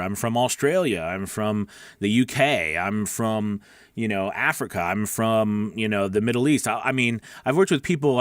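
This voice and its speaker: A man's voice: on the low side, no echo, clean studio sound. A man hosting a live-streamed coaching show for new voice actors, talking in a chatty, rambling way with lots of fillers. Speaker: host.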